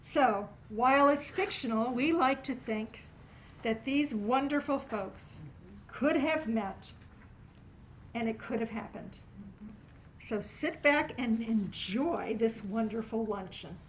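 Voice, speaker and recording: Higher-voiced woman hosting an event. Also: takes it slowly, rough recording. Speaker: event host introducing a performance. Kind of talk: live spoken introduction to an audience. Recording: live room with faint background noise.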